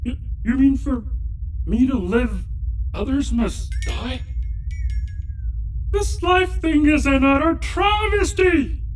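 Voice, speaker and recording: A woman's voice: sits high, slow pace, pauses often, recorded from a distance, a little hiss or hum. A woman playing a naive newborn space shark in a sci-fi audio comedy, whiny, pleading and melodramatic. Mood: distressed, indignant. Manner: whiny, pleading, loudly lamenting.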